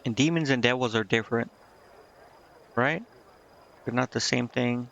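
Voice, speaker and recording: A man's voice: mid-range voice, normal speed, creaky, fairly bright tone, muffled recording. A man streaming a game, reading its dialogue aloud with casual commentary and stumbling now and then before correcting himself. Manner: stumbles over read text and corrects himself. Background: game music.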